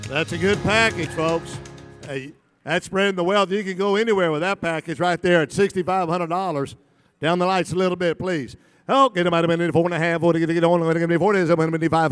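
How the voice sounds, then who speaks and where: A man working a live charity auction, rattling off bids in a rapid rhythmic chant and joking with the crowd. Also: a little high, clean tone. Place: large banquet hall at a gala. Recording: long reverb in a big hall.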